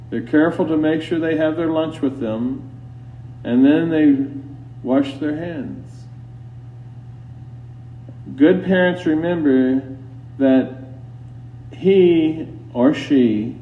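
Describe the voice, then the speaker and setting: Slow, solemn male priest preaching, distant and echoey. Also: fairly high pitch. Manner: solemn, deliberate, admonishing, with long pauses. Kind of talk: sermon. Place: inside a church. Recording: roomy church, distant mic, dull, faint hum.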